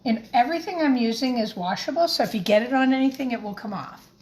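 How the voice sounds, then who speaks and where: A woman teacher gently and encouragingly talking young children through a craft in simple words as she demonstrates it. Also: on the high side, animated, quick pace. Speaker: primary school teacher leading a craft. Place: home kitchen, by the refrigerator. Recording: echoey room with faint background noise.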